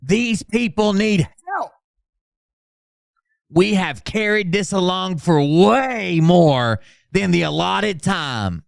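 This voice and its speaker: A man's voice: high voice, animated, slow, vocal fry, no room sound, studio quality, exaggerated voice. A man doing an over-dramatic reading as a pompous, self-important doomsaying orator, grandiose and declamatory. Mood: indignant, demanding.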